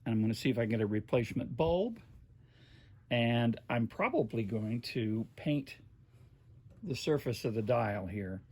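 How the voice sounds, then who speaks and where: A man giving a casual hands-on demonstration, talking in an unhurried, halting way as he works. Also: medium pitch, lively intonation, a little mellow. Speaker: host of a vintage radio restoration video.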